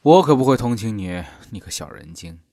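A man's voice: lowish voice, animated, brisk, warm tone, no room sound, studio quality, close to an everyday voice. A man acting a protective, teasing, tender-hearted young lover in an audio drama.

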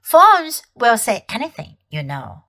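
A woman voicing a cold, scheming queen witch who coaxes in a smooth, conspiratorial way in an audiobook. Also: fairly high pitch, wildly swooping pitch, measured pace, sharp tone, heavily acted. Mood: coaxing. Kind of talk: audiobook character voice.

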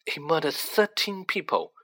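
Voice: medium pitch, big pitch swings, moderate pace, fairly bright tone, voice pushed up. A storyteller voicing an earnest, curious teenage wizard boy who speaks plainly, in an audiobook reading. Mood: curious, hesitant.

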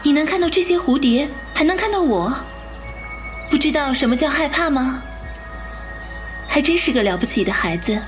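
A young lotus spirit maiden voiced by a woman, gentle and wistful. Her voice sits high, in audio drama style, with music playing clearly behind her. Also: expressive, moderate pace, pauses often. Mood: amused, curious. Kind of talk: Chinese audio drama acting.

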